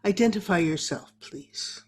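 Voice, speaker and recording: A woman playing a mysterious, solemn séance medium in a radio play, with formal, drawn-out, incantatory delivery. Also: fairly low pitch, lively intonation, darkish tone. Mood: solemn trance. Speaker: amateur radio drama player. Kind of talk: old-time radio acting.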